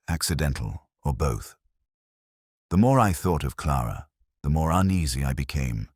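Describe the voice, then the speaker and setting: Man acting as introspective detective, extremely low pitch, expressive intonation, measured literary narration, melancholic, audio drama. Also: husky, no room sound, clean studio sound, exaggerated voice. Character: melancholic, introspective male police inspector, brooding and doubtful. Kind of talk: scripted first-person detective drama narration.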